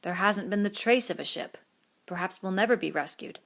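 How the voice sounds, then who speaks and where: A woman voicing a gloomy, quiet boy. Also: brisk, gravelly. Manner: gloomy, low-key.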